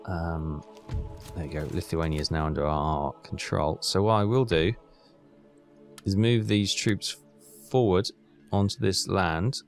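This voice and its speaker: A man's voice: deep voice, fairly even intonation, a little slow, pauses often, faint music behind. A man hosting a gaming video, giving casual commentary and thinking aloud in a chatty way as he plays.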